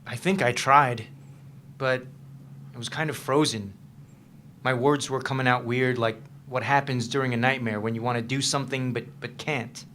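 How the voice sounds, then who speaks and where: A man's voice: mid-range voice, steady tone, moderate pace, a bit bright, a little hiss or hum, lightly acted. An actor reading the part of a troubled, guarded man in a staged reading of a novel, with a hesitant, understated delivery. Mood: uneasy, confiding. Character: troubled, guarded man confiding in his girlfriend, haunted by past.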